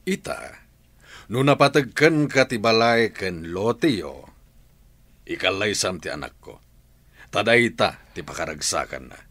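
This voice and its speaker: Bossy older filipino moneylender dad, low slow voice that swings way up, radio drama. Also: male voice, lively intonation, fairly bright tone, dry recording, exaggerated voice. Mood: coaxing, insistent. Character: domineering older moneylender father pressing a debtor into marriage.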